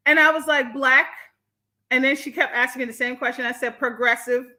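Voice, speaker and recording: A woman with an American accent hosting a casual livestream, reading and answering viewer comments in an animated, candid, slangy way. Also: high-pitched, brisk. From born in Jamaica, moved from the United States. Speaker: livestream host.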